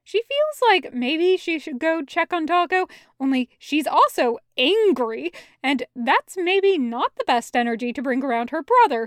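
High-voiced, unhurried woman narrating a story. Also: no echo, clean studio sound. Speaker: fan fiction audiobook reader. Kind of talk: expressive scripted story narration.

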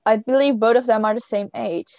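A woman's voice: fairly high pitch, fairly even intonation, darkish tone, no echo, dull audio. A teenage girl in casual, teasing chat with a friend, giggling often. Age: teens. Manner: giggly, teasing, quick banter. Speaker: co-host.

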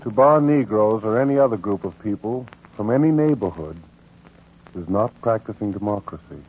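A man giving grave, earnest scripted narration for a 1940s radio documentary drama, formal and measured. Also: fairly low pitch, animated, natural voice. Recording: tinny 1940s radio recording.

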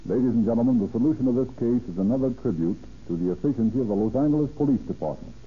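A man's voice: medium pitch, racing, narrow, tinny audio, a little hiss or hum. A man announcing a sponsor message in 1930s radio style, formal and emphatic, on an old radio recording. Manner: formal, emphatic scripted delivery.

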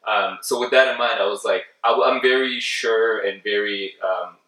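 A man's voice: lowish voice, moderate pace, sharp tone. A young man chatting casually and hesitantly, full of "like" and "um". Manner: hesitant, many "like" and "um", restarts. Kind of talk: casual chat. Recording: roomy, mic at a distance.

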